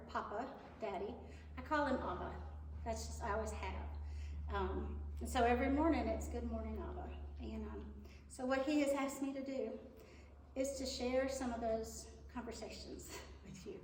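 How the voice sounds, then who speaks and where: A woman with a big voice speaks earnestly to a church congregation, chatty and a little self-deprecating. Her pitch sits on the high side. The sound is echoey and comes from some distance away in a large hall. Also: normal speed, slight background noise. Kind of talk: Mother's Day church talk. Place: church sanctuary. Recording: echoey hall, mic from far off.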